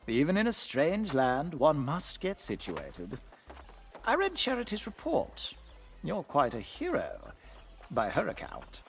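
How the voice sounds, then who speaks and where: An actor playing a courtly, welcoming fantasy town leader in a video game, speaking formally and graciously. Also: male voice, a little high, wildly swooping pitch, slow pace, creaky, full character voice. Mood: gracious, earnest.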